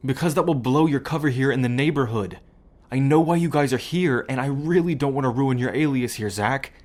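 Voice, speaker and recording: A man's voice: medium pitch, brisk, darkish tone, natural voice. A man playing a young, apologetic brother estranged from the mob, pleading and hesitant, in an audio roleplay. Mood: anxious pleading.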